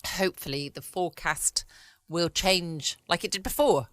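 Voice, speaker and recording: A woman's voice: lowish voice, moderate pace, fairly bright tone, dry recording. A woman hosting a bedtime podcast in a casual, musing, rambling way. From United Kingdom. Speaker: podcast host. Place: quiet room at home. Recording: close, dry home recording.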